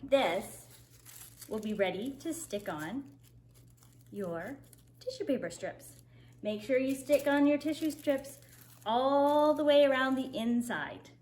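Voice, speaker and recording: A woman teacher speaking to kindergarteners, expressive and simply instructive, as in story time and craft lessons. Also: high voice, takes it slowly, long pauses. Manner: expressive, simple instructive phrasing for young children. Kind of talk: storybook read-aloud and craft instructions for children.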